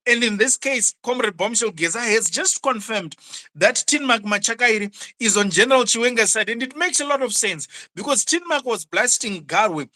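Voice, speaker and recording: A bright, forceful voice lays out political analysis with emphasis. The speaker often stumbles over repeated words before pressing on to the point. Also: mid-range voice, animated, moderate pace. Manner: emphatic, repeats words when starting sentences. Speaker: political commentator. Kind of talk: political analysis on an online news show.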